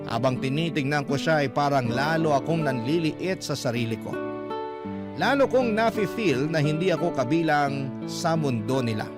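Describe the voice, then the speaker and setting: A man's voice: on the high side, animated, normal speed. A man narrating a listener's letter in an earnest, confessional tone for a radio program. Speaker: letter reader. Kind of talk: dramatic reading of a listener's letter. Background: loud guitar music.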